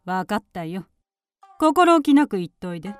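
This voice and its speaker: Spiteful middle-aged aunt, played by an actress, swinging intonation. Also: female voice, medium pitch, very animated, moderate pace, clean tone, no echo, faint music behind, close to an everyday voice. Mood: sly, dismissive. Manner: haughty, sneering, commanding. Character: spiteful, suspicious aunt and mistress of a village household. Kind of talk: period radio drama acting.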